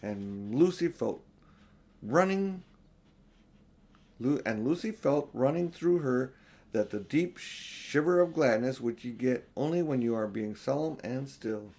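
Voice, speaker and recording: An older man narrating a children's audiobook expressively, with unhurried, deliberate phrasing. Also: mid-range voice, animated, darkish tone. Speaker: audiobook reader.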